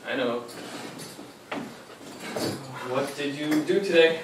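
A man's voice: fairly high pitch, normal speed, natural voice. A young man acting an anxious, patient grandson who also narrates his memories in a live stage play. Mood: patient, concerned. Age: young adult. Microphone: far camera in the audience. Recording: echoey small theater, distant camera, faint hiss.

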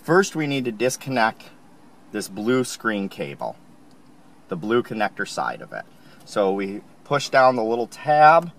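Man, lowish voice, plain how-to narration, calm, faint car ambience. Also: measured pace, vocal fry, a little hiss or hum. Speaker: installation instructor. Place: inside a car.

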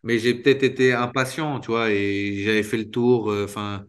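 A man in his thirties, a former footballer, talking candidly in an interview over a video call, restarting sentences and filling pauses often. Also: lowish voice, fairly even intonation, smooth. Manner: spontaneous, halting, many restarts and fillers. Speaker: retired footballer guest. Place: small room indoors. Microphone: video call webcam.

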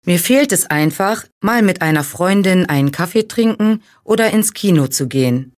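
A woman's voice: fairly low pitch, animated, normal speed, darkish tone. Woman reading a learner text evenly. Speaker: reader of listening texts.

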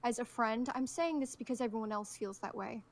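A woman acting a blunt, confrontational zombie apocalypse survivor in a game roleplay. Her voice is fairly high and scolding, recorded with slight noise. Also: brisk, close to an everyday voice.

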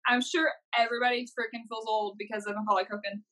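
Co-host, American woman of thirty: sharp bright tone, fairly high, casual and unpolished. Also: little pitch movement, quick pace, rough recording. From United States. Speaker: podcast co-host, professional wrestler. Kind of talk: casual chat. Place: plain indoor room.

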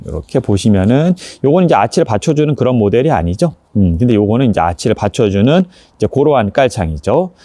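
A man's voice: mid-range voice, big pitch swings, quick pace, hardly any pauses, dark tone, no echo. A man presenting a casual hands-on review, explaining each step politely as he demonstrates.

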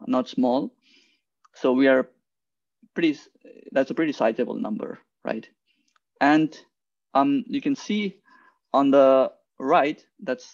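A young man from Nepal presents slides over a video call. His voice is gravelly and sits at a middle pitch, and the call audio is dull and lo-fi. He talks hesitantly, repeats himself, and keeps checking in with "right?". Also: a little mellow. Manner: hesitant, repeats words often, adds "right?" as a tag. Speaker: guest speaker, quantum engineer. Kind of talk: presenting slides in an online talk. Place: indoor room, video call. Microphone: computer mic on a video call.

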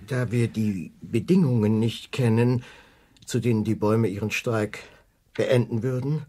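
Mellow, husky government aide. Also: male voice, fairly low pitch, measured pace. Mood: probing. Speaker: actor in a radio drama. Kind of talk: German radio drama acting.

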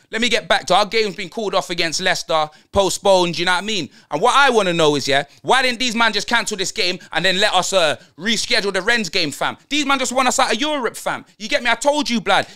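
A man's voice: sits high, sharp tone. A man playing a brash young London roadman football fan, ranting in heavy slang and tagging lines with blood and fam. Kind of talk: comedic football rant.

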